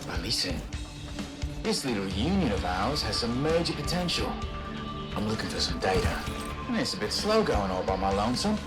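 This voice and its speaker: A man's voice: on the low side, very animated, normal speed. A man voicing a cocky, wisecracking rogue fighter in a video game, with a jaunty, folksy, teasing way of talking. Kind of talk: video game character dialogue. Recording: voice under loud game music. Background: loud game music.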